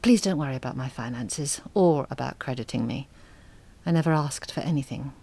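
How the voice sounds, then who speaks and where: Low, understated, reserved woman. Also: animated, vocal fry, warm tone, slightly rough, exaggerated voice. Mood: firm, polite. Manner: measured, understated, articulate. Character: reserved, selfless single mother, gently firm and sad. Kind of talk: audio drama acting.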